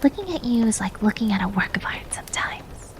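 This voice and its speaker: A woman's voice: a little high, very animated, brisk. A woman playing a prim, caring twin maid in an ASMR roleplay, often whispering, with formal wording and a teasing, easily flustered temper. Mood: tender, soothing. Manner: soft, formal wording, stammers when embarrassed.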